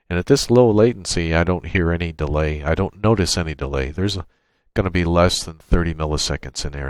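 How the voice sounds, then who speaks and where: Low-pitched man giving a software tutorial. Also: no echo. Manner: matter-of-fact, step-by-step explaining. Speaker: tutorial presenter. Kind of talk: unscripted software tutorial narration. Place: indoors at a computer, screen-recording voice-over.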